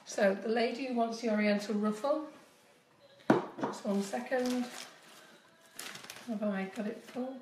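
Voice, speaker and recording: A chatty woman in her fifties demonstrates cake piping in a home kitchen, speaking straight to her viewers. Her voice is a little squeaky from a cold. The sound is echoey and she often drifts away from the phone mic. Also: fairly even intonation, unhurried, long pauses, distant mic, heavy background noise. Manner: chatty, instructive, addresses viewers directly. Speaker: cake decorating tutor. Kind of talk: live-streamed baking demonstration.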